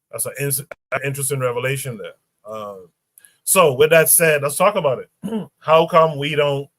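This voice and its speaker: Halting male podcast host, dry sound. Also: medium pitch, a bit bright, dry recording. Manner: reads tweets aloud, halting with fillers. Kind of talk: livestream panel discussion.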